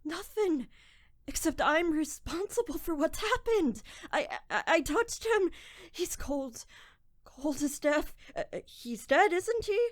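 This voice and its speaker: High-voiced desperate young man, acted by a woman. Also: very animated, dry recording, clean studio sound, heavily acted. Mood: guilty, horrified. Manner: anguished, halting. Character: desperate, guilt-ridden poor young man. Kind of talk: melodramatic audio horror drama acting.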